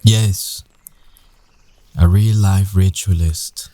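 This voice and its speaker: A man playing a tender, weary werewolf ex-boyfriend in an intimate ASMR roleplay, with hushed, halting delivery. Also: low-pitched, fairly even intonation, dry recording. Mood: wry, explaining.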